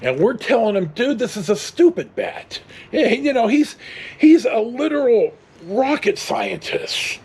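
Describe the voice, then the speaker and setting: A man's voice: very high voice, very animated, unhurried, darkish tone, husky. A middle-aged American man tells rambling casual stories in a blunt, salty way. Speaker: storyteller vlogger.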